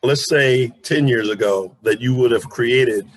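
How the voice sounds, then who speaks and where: A middle-aged man with a husky, rough-edged voice at a medium pitch hosts an interview. His questioning is casual and informal, with a self-deprecating touch. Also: a little slow, no echo. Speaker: interview host.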